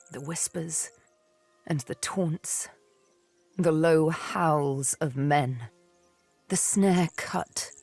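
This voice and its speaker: Low, slow, haunted elven woman. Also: creaky, darkish tone, slightly rough, dry recording, heavily acted. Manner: theatrical, deliberate, poetic phrasing. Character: haunted, wistful young elven woman, escaped slave turned adventurer. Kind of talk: dramatic video game dialogue, intimate storytelling.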